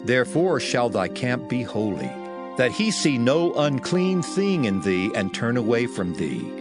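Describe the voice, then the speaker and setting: Male, audio Bible narrator, solemn, declamatory, highly animated intonation, mid-pitched, clear music bed. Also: a little slow. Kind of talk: dramatized scripture reading.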